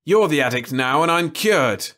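A man voicing a bitter, volatile man stranded on an asteroid, shouting and agitated, in a science fiction story reading. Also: high voice, animated, a little fast, voice pushed up.

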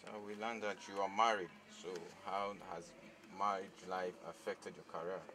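A man's voice: sits low, steady tone, normal speed, background noise. A man interviewing an artist, asking formal questions politely and a bit hesitantly, recorded in a small studio. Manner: polite and deferential, hesitant with ums. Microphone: handheld mic.

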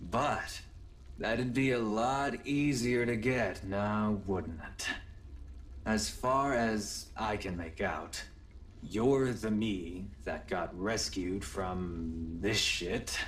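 An actor playing a weary, sardonic alternate self of a young hero in a video game, laconic and wry. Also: male voice, fairly low pitch, a bit bright, far from the mic. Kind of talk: video game cutscene dialogue.

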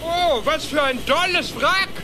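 High, naive chubby duck boy. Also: a little slow, noisy background. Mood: gleeful, naive. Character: slow-witted greedy cheerful chubby boy duckling. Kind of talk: German cartoon radio play acting.

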